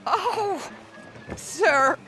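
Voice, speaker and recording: An actress playing a gentle, devout nun in a video game, speaking in an earnest, tender, measured way. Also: female voice, very high-pitched, lively intonation, faint music behind, full character voice, poor audio. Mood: grateful. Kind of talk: video game cutscene acting.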